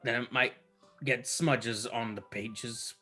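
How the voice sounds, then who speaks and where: A man's voice: medium pitch, steady tone, slow, bright, forceful tone, faint music behind. A man on a gaming podcast, sardonic and swearing, often breaking into laughter. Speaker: let's play co-host. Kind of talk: casual podcast banter.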